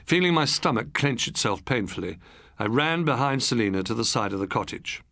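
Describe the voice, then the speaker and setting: A man's voice: medium pitch, lively intonation, fairly quick, vocal fry, fairly bright tone, dry recording, studio quality. A man reading an audiobook as a dramatic, scripted narration.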